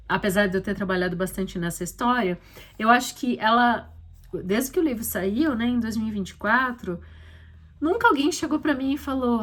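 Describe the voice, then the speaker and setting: A Brazilian woman talking casually and reflectively as an author and podcast host, explaining things conversationally with frequent little check-in tags. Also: on the high side. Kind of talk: casual reflective commentary on her own short story.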